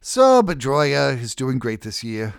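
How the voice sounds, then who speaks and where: Man doing a slow old Boston dock worker. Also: medium pitch, sing-song, vocal fry, clear, resonant tone, no echo, studio quality, heavily acted. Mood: chatty. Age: 60s. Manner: slow, methodical, folksy. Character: friendly, slow-talking old blue-collar dock worker, sixties.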